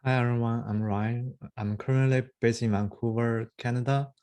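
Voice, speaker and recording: A man's voice: medium pitch, steady tone, normal speed, darkish tone, clear, resonant tone. A man from China introducing himself briefly as a mentor on a video call, understated.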